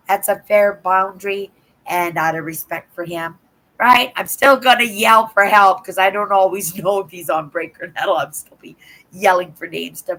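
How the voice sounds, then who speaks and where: An older woman from Canada hosting a livestream, chatting casually with viewers in a hoarse voice, rambling and tagging "right?" onto her sentences. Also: fairly low pitch, fairly bright tone, rough recording. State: hoarse from a sore throat. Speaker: livestream host. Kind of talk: casual live chat to viewers.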